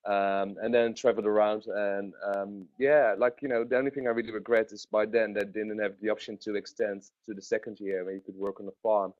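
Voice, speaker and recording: A man's voice: on the low side, level tone, brisk, hardly any pauses, a little mellow, dry recording, highs cut off. A man, a webinar guest recalling travel with lots of fillers and restarts. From Netherlands. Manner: rambling, many fillers and restarts. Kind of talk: webinar interview.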